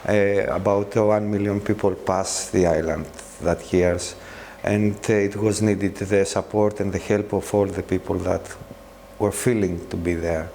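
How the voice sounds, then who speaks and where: A man from Greece speaking earnestly in a documentary interview, halting now and then. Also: sits low, fairly even intonation, creaky, mellow, in a large hall, a little hiss or hum.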